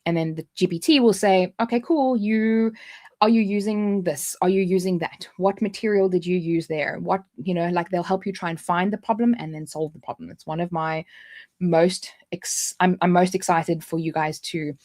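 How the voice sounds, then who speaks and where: A woman's voice: fairly low pitch, steady tone, moderate pace, darkish tone. A woman with a South African accent hosting a casual livestream, chatty and jumping between points with frequent restarts. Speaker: livestream host.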